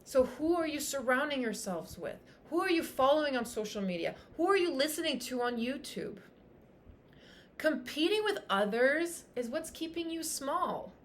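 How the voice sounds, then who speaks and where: A woman whose first language is French, a fitness coach giving an energetic, emphatic motivational talk on a livestream, repeating phrases for punch. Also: high voice, moderate pace, a little hiss or hum. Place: Tulum, Mexico.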